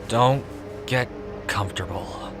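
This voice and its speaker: A man plays a reserved death god in an audio drama, brooding and understated. He speaks slowly at a middling pitch, with music clearly behind him.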